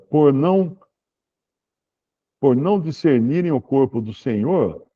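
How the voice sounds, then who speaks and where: A man, leading an informal Bible study and answering questions in a relaxed, explanatory way, slowing down to read verses aloud. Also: on the high side, lo-fi sound. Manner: relaxed, explanatory, reads scripture aloud slowly.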